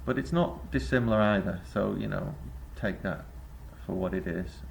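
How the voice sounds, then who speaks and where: Male vlogger, low and heavily gravelly, rambling reflective delivery full of hesitations. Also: moderate pace, a little hiss or hum. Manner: rambling, hesitant, many filler sounds. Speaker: vlogger and busking singer. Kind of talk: reflective spoken essay to camera.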